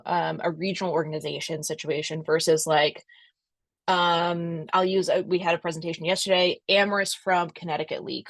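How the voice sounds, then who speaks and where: A young woman hosts an online session over a video call, explaining things in a loose, chatty way that keeps wandering into asides. Her voice sits a touch low for a woman. The sound is close and dry, with no room around it. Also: creaky, no echo. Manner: loose, conversational, talks in asides. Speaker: webinar host from a museum association. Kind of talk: casual instructional talk.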